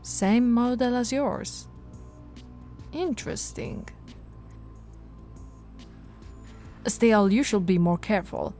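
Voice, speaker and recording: A woman voicing a patient, teasing female police officer in an ASMR audio roleplay, speaking softly and coaxingly to the listener, recorded close to the mic. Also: fairly high pitch, expressive, takes it slowly, faint music behind. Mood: wry. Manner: soft-spoken, coaxing, playfully flirtatious. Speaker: ASMR voice actress.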